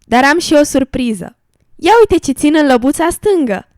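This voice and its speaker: A small, affectionate female pond creature, acted by a woman in an educational radio drama. The cheerful voice is rather high and recorded dry. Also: animated, darkish tone, no room sound. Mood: pleased. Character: affectionate, cheerful female little amphibian, a loyal friend.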